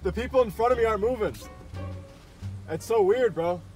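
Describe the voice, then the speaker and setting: A man's voice: high voice, brisk, a little mellow, faint music behind. A young man in casual, slangy banter with friends, often exclaiming, recorded on a handheld camera inside a car. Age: young adult. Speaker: prank vlogger. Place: inside a car at drive-thrus at night.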